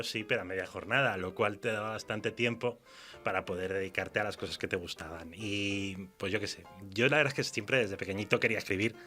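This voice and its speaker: Male guest writer, Spain accent, bright forward voice on the lower side, informal and self-deprecating, faint music. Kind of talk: casual interview chat.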